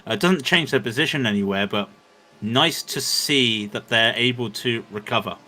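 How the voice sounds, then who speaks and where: A man's voice: mid-range voice, normal speed, bright, forceful tone. A man doing live commentary on an online endurance race, chatty and joking between updates, his throat dry after hours on air. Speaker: sim racing commentator.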